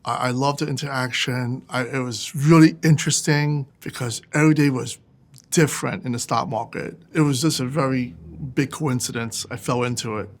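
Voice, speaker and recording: A man's voice: mid-range voice, moderate pace. A man, a fund manager talking candidly about his career in a plainspoken, emphatic way. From New York City. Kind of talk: conversational interview monologue.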